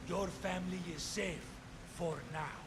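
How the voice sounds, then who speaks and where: A cruel cultist from a video game, with a notably high voice. He taunts and threatens coldly while loud ambient noise surrounds him. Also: fairly even intonation, a little slow. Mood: mocking. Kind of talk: dramatic video game acting.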